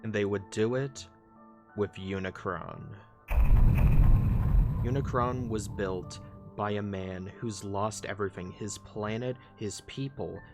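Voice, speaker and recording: Low-voiced man narrating over music. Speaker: video essayist, narrator. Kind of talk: scripted narration, dramatic lore retelling. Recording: loud music under the voice.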